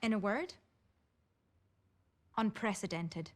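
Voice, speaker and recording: An actress playing an assured motorsport pundit giving measured, emphatic documentary interview commentary. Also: female voice, mid-range voice, natural voice. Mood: assured analysis.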